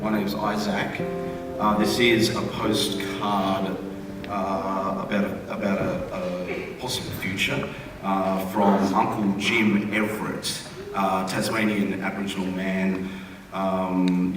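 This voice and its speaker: An Australian man reading a written humorous postcard aloud to an audience in a wry, conversational way. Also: deep voice. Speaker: reader at a theatre forum. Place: large indoor theatre forum venue. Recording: echoey hall, distant mic, room noise.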